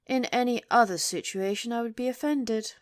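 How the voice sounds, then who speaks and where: A woman's voice: a little high, normal speed, no echo, lightly acted. A woman playing a coldly menacing, imperious boss in an audio drama, formal and precise in her speech. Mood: formal, commanding. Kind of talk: audio roleplay acting.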